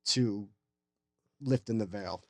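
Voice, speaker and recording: Blunt, relaxed man on a podcast. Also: on the low side, slow pace, no room sound. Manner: blunt, relaxed, swears casually. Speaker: podcast guest. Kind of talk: casual conversation.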